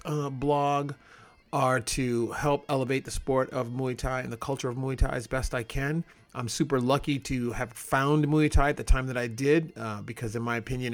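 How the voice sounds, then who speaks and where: Man from Chicago, podcast host, casual and upbeat, mid pitch, lots of "uh"s. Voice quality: relaxed, upbeat with frequent fillers. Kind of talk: casual podcast intro and interview.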